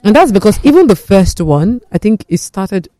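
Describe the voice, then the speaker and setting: A man hosting a casual studio talk show, reading viewer messages with lively, teasing questions. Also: high-pitched, moderate pace, dry recording.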